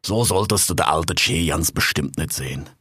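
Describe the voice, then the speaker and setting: A man's voice: a bass voice, normal speed, sharp tone, husky, no room sound, clean studio sound, heavily acted. A man voicing a jovial, blustery old male monkey king who rambles in dialect and keeps saying "hör mal".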